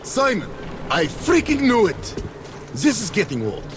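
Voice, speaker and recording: A blunt, no-trouble station boss from a video game. His voice is high and swings widely as he lays down the law. There is clear noise in the background. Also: wildly swooping pitch, a little slow, full character voice. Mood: exasperated. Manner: blunt, emphatic. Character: gruff, exasperated male station boss wary of trouble.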